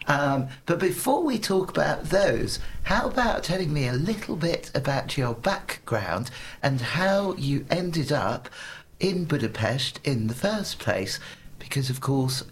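A middle-aged man hosting a long-running radio show, chatting with his guest in a relaxed, easygoing way. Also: medium pitch, takes it slowly, a little hiss or hum. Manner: relaxed, chatty, laughs easily. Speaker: radio host. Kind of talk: casual radio interview.

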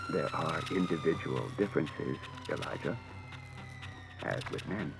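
A man voicing a calm, polite male robot who speaks in a quiet, measured, precise way. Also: low-pitched, gravelly, a little hiss or hum, voice pushed down, full character voice. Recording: dull lo-fi with music behind.

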